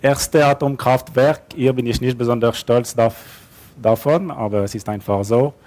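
A man's voice: mid-range voice, moderate pace, a little mellow. Man speaking hesitant German. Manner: non-native German with grammar slips, hesitant fillers, light self-deprecating humor. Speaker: conference speaker. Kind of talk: conference talk with slides. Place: conference hall. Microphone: headset or lapel mic.

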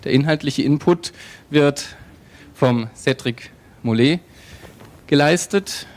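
A man's voice: fairly high pitch, slow, slight background noise. A man moderating the closing session of a conference, speaking in a friendly, chatty way with frequent fillers and restarts. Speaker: event moderator. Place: conference hall.

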